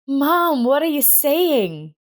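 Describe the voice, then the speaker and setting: A woman's voice: high-pitched, sing-song, takes it slowly, voice pushed up, full character voice. A woman voicing a selfish, pushy, indignant grown daughter in a narrated family drama story. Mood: amazed.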